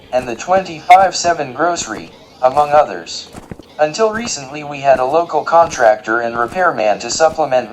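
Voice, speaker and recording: A man playing a polite, literal-minded robot guide in an audio drama, speaking in a formal, precise and deadpan way. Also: medium pitch, slight background noise, natural voice, low-quality recording.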